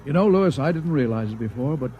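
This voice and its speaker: An actor playing a wry ship's doctor and familiar confidant in a vintage radio drama, dry and teasing. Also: male voice, mid-range voice, lively intonation, fast talker, highs cut off, close to an everyday voice. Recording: very noisy vintage radio recording.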